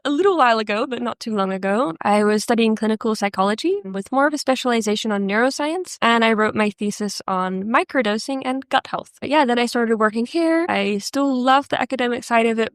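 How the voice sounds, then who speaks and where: A young woman, speaking with a fairly high, light voice. She chats earnestly and easily as an interview guest, often pausing on "um" and "yeah". The sound is dry and close. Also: dry recording. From New Zealand. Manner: earnest and chatty, many "um" and "yeah" fillers. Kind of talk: casual interview chat.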